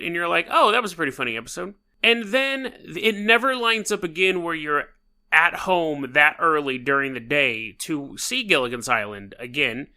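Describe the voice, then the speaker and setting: A man in his thirties with a very bright, fairly high voice, a little hoarse from a head cold. He talks alone to his listeners in a loose, rambling way and sounds amused at himself. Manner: chatty, rambling and self-amused. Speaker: podcast host. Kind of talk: casual solo podcast monologue.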